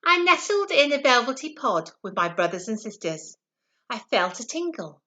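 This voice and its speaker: Woman reading as a bright, lively little storybook pea. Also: a little high, moderate pace. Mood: earnest, confiding. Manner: expressive, confiding storybook narration. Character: small earnest storytelling pea, eager to help. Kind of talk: first-person children's story reading.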